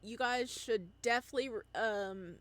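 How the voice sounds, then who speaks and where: A woman giving excited, casual commentary as she hosts a video game playthrough, gushing and full of exclamations. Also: on the high side, moderate pace.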